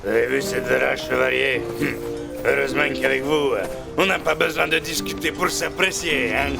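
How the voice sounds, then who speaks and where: A man's voice: mid-range voice, fairly even intonation, moderate pace, vocal fry. A man playing a grumbling, sarcastic adventurer in a fantasy audio drama. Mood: sardonic. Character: grumbling, sardonic male adventurer. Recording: loud music behind the voice.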